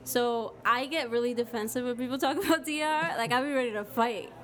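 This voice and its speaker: A woman's voice: a little high, brisk, fairly bright tone. A young woman from the Dominican Republic co-hosting a live podcast, talking candidly and outspokenly with casual swearing. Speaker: podcast co-host.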